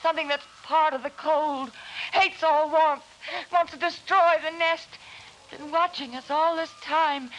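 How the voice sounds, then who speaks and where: Very high-pitched, fearful mother. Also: fairly even intonation, unhurried, a bit bright, rough recording. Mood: paranoid dread. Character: fearful, fragile mother dreading a lurking menace. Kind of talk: melodramatic 1950s radio acting. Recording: vintage radio recording, faint noise.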